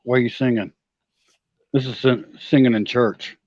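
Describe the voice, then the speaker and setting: A man's voice: mid-range voice, fairly even intonation, moderate pace, a little mellow, no room sound, dull audio. A man chatting casually on a live stream, dropping dry teasing remarks.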